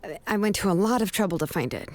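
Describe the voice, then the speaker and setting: A woman playing a confident young investigator in a fantasy game, questioning in a measured, insistent way. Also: mid-range voice, expressive, no echo, lightly acted. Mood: casual, probing.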